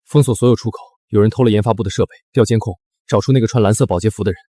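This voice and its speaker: A man's voice: fairly low pitch, very fast, warm tone, no room sound, clean studio sound, natural voice. A man playing a doting, teasing, protective young suitor in a short drama. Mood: commanding.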